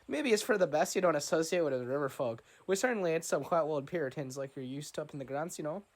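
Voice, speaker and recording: A man's voice: a little high, expressive, quick pace, pitched above the performer's own voice. A man voicing a chatty, nosy old riverboat captain with a put-on brogue, rambling and tagging "you know" onto his sentences. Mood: jovial. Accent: put-on Scottish-like brogue.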